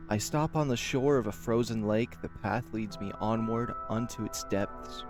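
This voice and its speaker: A man who hosts a channel, reading a visual novel aloud in a slow, measured, dreamy way. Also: on the low side, a little mellow, soft background music. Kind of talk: dramatic reading of game text.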